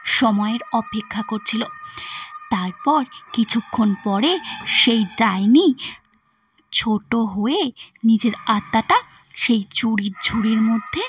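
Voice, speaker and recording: A woman with a fairly high voice narrates a scripted cartoon story for children. Soft music plays behind her. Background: background music.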